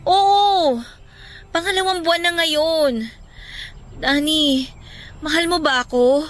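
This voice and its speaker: A woman's voice: high voice, steady tone, takes it slowly. A woman playing an irritable, anxious young pregnant girlfriend in a Filipino radio drama, emotional and accusing. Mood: irritated, anxious.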